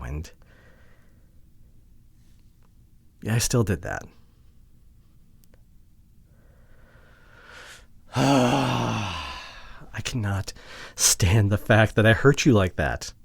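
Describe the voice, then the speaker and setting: A man playing a regretful, wounded boyfriend pleading with his partner in an ASMR audio drama, halting and emotional, often dropping to hushed tones. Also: medium pitch, expressive, gravelly, slightly rough, no echo, heavily acted. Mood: anguished, apologetic.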